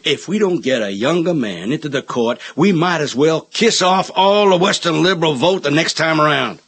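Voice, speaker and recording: A domineering party boss in a radio play. His voice is fairly high and husky, lively in pitch, blunt and argumentative. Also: normal speed, full character voice. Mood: scornful, forceful. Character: brash, domineering party politician backing a candidate. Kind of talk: 1980 radio drama acting.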